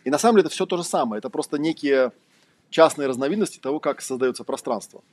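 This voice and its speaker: A man's voice: a little high, expressive, fast talker, a little mellow. A man giving an informal lecture, conversational and rambling, telling anecdotes with a wry manner. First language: Russian. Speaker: trainer, lecturer.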